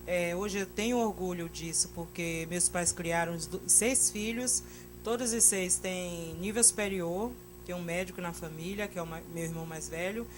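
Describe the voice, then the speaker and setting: A woman's voice: mid-range voice, noisy background. A middle-aged woman with a Bahian Brazilian accent, telling her own story as an interview guest. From Salvador, Bahia, Brazil. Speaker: interview guest, Portuguese teacher.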